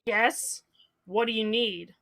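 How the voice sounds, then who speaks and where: A woman's voice: fairly high pitch, steady tone, slow pace, creaky, bright, forceful tone, heavily acted. An actress playing a cocky, opportunistic female starship guard in an audio drama, brash and pushy. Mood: officious.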